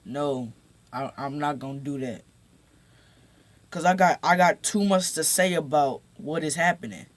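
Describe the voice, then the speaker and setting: Teen boy vlogging on his phone, slowish talk, kinda high voice, stumbles and repeats himself a lot. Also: no echo. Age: teens. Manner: halting, repeats words, gets emphatic. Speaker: YouTuber. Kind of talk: casual talk to camera.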